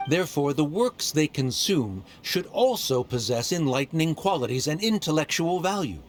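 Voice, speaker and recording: A man's voice: on the high side, big pitch swings, moderate pace, a little hiss or hum. A man voicing a haughty male scholar who lectures in a formal, dismissive way. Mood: condescending. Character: haughty male Academia scholar, condescending debater. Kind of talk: game voice acting.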